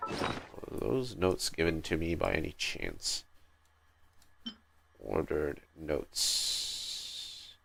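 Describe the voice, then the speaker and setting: Very deep-voiced man streaming a game. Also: huge pitch swings, very slow, vocal fry, long pauses, fairly bright tone, a little hiss or hum. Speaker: game livestreamer. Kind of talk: casual live gameplay commentary, reading on-screen text.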